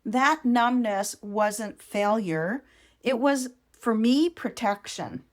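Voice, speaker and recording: A woman hosting a podcast, giving a reflective personal monologue in short, deliberate, measured phrases. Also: mid-range voice. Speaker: podcast host.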